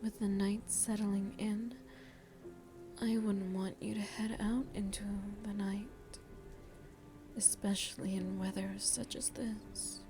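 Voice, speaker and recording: A woman voicing a seductive, motherly vampire hostess in an ASMR roleplay, breathy and soft, with a tender, teasing manner. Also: medium pitch, level tone, slow, over music. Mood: concerned, coaxing. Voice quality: breathy, whispery.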